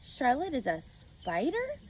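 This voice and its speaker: A woman's voice: high-pitched, wildly swooping pitch, slow pace, darkish tone, a little hiss or hum, voice pushed up, heavily acted. Woman reading as a worried, puzzled farm mother. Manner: questioning, concerned. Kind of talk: children's storybook read-aloud.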